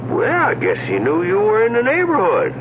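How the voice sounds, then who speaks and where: An actor playing a folksy, slow-witted small-town deputy sheriff with a drawl, stammering and repeating words, in a 1970s radio drama. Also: male voice, high-pitched, huge pitch swings. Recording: lo-fi phone-band radio recording with hiss.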